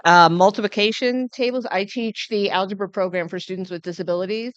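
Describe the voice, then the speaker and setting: Woman teacher speaking up from the audience, big voice, smooth tone, dry sound. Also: medium pitch, brisk, dry recording, highs cut off. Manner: loud, says she has a big voice. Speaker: high school algebra teacher. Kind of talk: sharing in a group discussion. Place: conference room.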